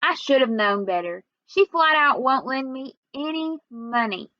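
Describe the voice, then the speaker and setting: A woman reader plays a worn-out, worried working woman from a storybook. She talks in a folksy, plain way, pitched high. The recording is dull and very dry. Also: animated, unhurried, no echo. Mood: upset, bitter. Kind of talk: storybook read-aloud dialogue.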